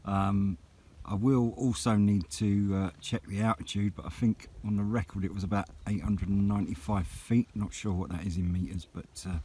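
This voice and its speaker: A man's voice: fairly low pitch, steady tone, moderate pace, hardly any pauses, warm tone, slight background noise. A man, chatting casually outdoors as a vlogger and CB radio hobbyist. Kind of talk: casual vlog chat and radio exchanges. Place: outdoors on a hilltop.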